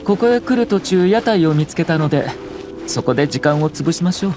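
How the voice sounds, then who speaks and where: Actor as refined noble man, young, slightly high voice, polite, formal, composed, game dialogue, music behind. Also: animated, fairly quick, close to an everyday voice. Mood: relaxed. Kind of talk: Japanese game voice acting. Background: background music.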